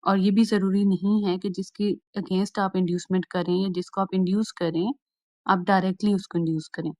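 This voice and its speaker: A woman's voice: medium pitch, a little mellow, no room sound. A woman with a Pakistani accent giving an explanatory lecture, methodically repeating and rephrasing each point as a teacher does. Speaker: law lecturer. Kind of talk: explanatory lecture, switching between Urdu and English.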